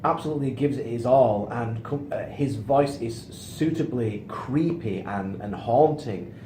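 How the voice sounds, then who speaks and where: A man's voice: medium pitch, a little slow, warm tone. A middle-aged British man co-hosting a film review show in casual, joking conversation. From United Kingdom. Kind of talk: casual chat, joking commentary. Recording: roomy echo, faint background noise.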